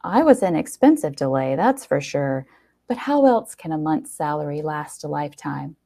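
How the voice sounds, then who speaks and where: A woman reading a short story aloud in an expressive, wry first-person narration with dramatic pauses. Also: sits low, vocal fry.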